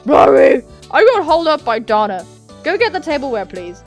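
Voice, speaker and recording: A woman's voice: high-pitched, big pitch swings, brisk, soft background music, pitched above the performer's own voice. A woman voicing a kindly, apologetic young male furry monster, a big brother in a visual novel game. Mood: brisk.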